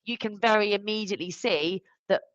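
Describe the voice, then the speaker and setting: Woman, mid pitch, emphatic conversational explainer, consultant in business discussion, dry, slightly muffled webcast audio. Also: a little fast, no room sound. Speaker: recruitment automation consultant. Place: online live stream.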